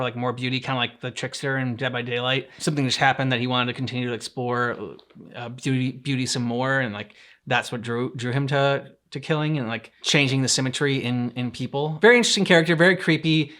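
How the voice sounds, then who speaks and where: A man co-hosting a game reaction show, chatting casually in a halting, loosely worded way as he thinks aloud. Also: mid-range voice, a bit bright. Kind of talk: casual chat.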